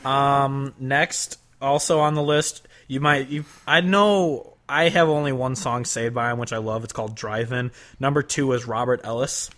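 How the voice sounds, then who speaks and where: A man's voice: mid-range voice, fairly even intonation, moderate pace, few pauses, fairly bright tone. A man co-hosting a casual podcast, reading from his notes and laughing often. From Northern US. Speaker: podcast co-host. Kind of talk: casual chat.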